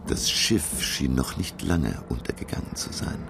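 An actor playing a thoughtful middle-aged professor, narrating his sea voyage in a measured, literary style for a radio drama. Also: a bass voice, expressive, vocal fry, background noise, full character voice. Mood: hushed, haunted.

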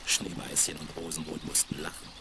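A man's voice: very deep voice, level tone, fairly quick. A man narrating a scripted fairy tale for children as storyteller, on a crackly 1970s vinyl record.

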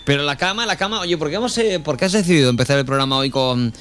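A man with a fairly high voice and an accent from Spain hosts a radio show. He chats informally and banters, often tagging on little questions for agreement. Soft music sits behind him. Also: fast. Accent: Spanish from Spain. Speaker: radio host. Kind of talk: casual radio chat with a co-host.

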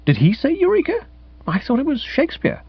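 A man voicing a breezy, excitable young upper-class English gentleman in a comic audiobook, chirpy and indignant, with old-fashioned slang. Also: very high-pitched, a little fast, gravelly, dry recording, dull audio, pitched above the performer's own voice, heavily acted. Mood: startled. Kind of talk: comic audiobook character acting.

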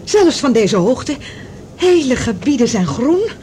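An actress playing an observant woman passenger in a science fiction radio drama, expressive and full of wonder. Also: on the high side, unhurried, a little hiss or hum. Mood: marvelling.

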